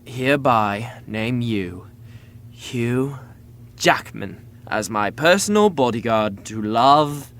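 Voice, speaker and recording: Dramatic young party prince, very animated. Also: male voice, medium pitch, takes it slowly, a little hiss or hum. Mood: mock-grand. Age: young adult. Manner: slangy, dramatic, boyish bravado. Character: young, dramatic, self-deprecating, tipsy party-boy prince. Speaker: actor in a full-cast audio drama. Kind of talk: modern romantic comedy audio drama, casual dialogue.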